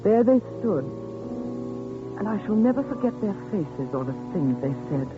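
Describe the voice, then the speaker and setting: A woman's voice: medium pitch, sing-song, moderate pace, close to an everyday voice. An actress playing a shaken woman who recounts a horror in a letter, in a 1940s radio drama. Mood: horrified. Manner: haunted, intense, dramatic delivery. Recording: tinny 1940s radio broadcast with music.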